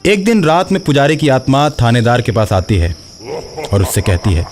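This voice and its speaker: A man's voice: medium pitch, sing-song, normal speed, darkish tone, faint music behind. A man narrating a scripted horror story.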